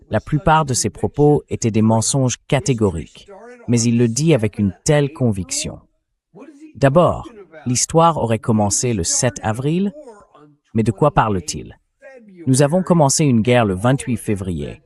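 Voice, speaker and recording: A man's voice: mid-range voice, big pitch swings, normal speed, darkish tone. A man delivering blunt, argumentative political commentary in a dubbed, conversational style.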